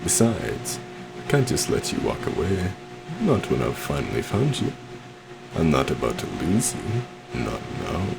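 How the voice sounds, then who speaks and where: Man acting a suave deep-voiced, gravelly stage magician. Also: wildly swooping pitch, measured pace, very noisy, full character voice. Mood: possessive concern. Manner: theatrical, courtly, soft coaxing with terms of endearment. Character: suave, possessive adult male stage magician, sweetly menacing. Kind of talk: ASMR roleplay acting.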